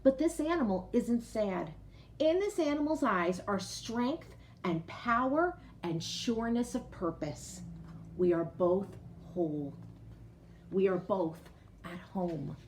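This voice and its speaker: A woman reading a picture book aloud to children like a teacher, expressive, pausing to explain and put questions directly to young listeners. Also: medium pitch, slight background noise, rough recording. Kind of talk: storybook read-aloud with explanatory asides.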